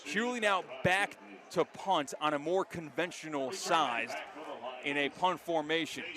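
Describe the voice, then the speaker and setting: An American man calling live football play-by-play, descriptive and animated, from an outdoor stadium. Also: a little high, unhurried, a crowd in the background. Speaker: play-by-play announcer. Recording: live stadium broadcast with loud background noise. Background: loud stadium noise.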